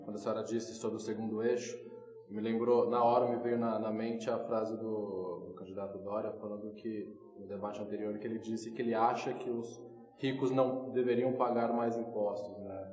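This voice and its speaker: A man's voice: lowish voice, little pitch movement, moderate pace, a little mellow. A Brazilian man asking a question in an interview, informal and a little hesitant in his phrasing. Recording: echoey room, reverberant.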